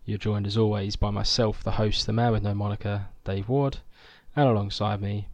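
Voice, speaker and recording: Male podcast host, low and gravelly, chatty, reads news off his notes. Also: little pitch movement, moderate pace, a little mellow, no room sound. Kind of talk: casual podcast chat.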